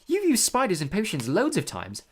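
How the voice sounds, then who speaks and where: A narrator voicing a curious, sensible schoolgirl with a brisk, inquisitive manner, in an audiobook story. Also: mid-range voice, wildly swooping pitch, husky, pitched above the performer's own voice. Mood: wondering.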